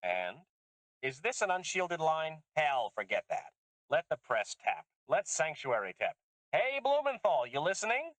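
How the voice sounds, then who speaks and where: A man voicing an older woman geneticist, bitter and intense, speaking emphatically in a raised pitch. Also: big pitch swings, measured pace, a bit bright, dry recording. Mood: agitated. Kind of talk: audiobook character voice.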